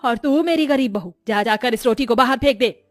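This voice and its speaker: Woman putting on a scolding, high-voiced mother-in-law. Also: brisk, dry recording, faint music behind, lightly acted. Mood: scornful. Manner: scornful, commanding. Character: scolding, sharp-tongued middle-aged mother-in-law.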